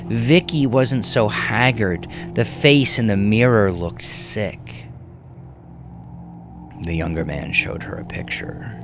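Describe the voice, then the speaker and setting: A man narrating dramatic horror fiction in a hushed, intense way. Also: fairly low pitch, takes it slowly. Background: music under the voice.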